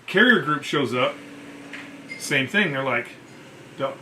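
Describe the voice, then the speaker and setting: A man's voice: medium pitch, takes it slowly, slight background noise, low-quality recording. A man who is a military veteran tells war stories casually, acting out what others said in colloquial, profane language. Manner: profane, colloquial, animated retelling. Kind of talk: casual storytelling with acted-out quotes.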